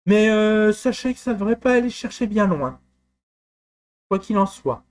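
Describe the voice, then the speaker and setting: Casting: a halting, informal tutorial presenter, man from France, fairly high-pitched voice. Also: expressive, darkish tone. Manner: hesitant, many fillers and restarts. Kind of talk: screen-recorded walkthrough.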